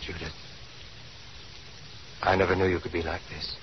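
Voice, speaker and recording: A man's voice: deep voice, little pitch movement, moderate pace, lightly acted. An actor playing a wry, worried, smitten male companion in a 1930s radio drama, urgent and conversational. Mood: startled. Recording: noisy, muffled 1930s radio recording.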